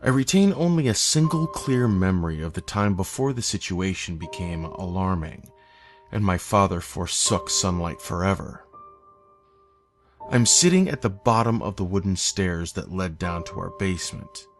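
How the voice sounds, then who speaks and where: A man reading a scripted first-person horror story in a measured, solemn way. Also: low-pitched, expressive. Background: eerie background music.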